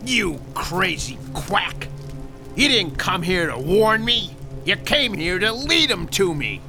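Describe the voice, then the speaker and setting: Brief: a man voicing a streetwise mob boss, gravelly and high-set, slow and lively in pitch, music score behind. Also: exaggerated voice. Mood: threatening. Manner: gruff, streetwise, menacing. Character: tough 1930s Chicago male mobster boss. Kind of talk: audio drama acting.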